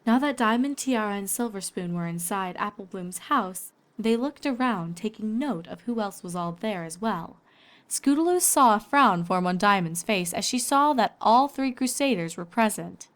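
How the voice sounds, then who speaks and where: A woman's voice: mid-range voice, animated, normal speed. A woman reading scripted story narration as a storyteller and voice actor.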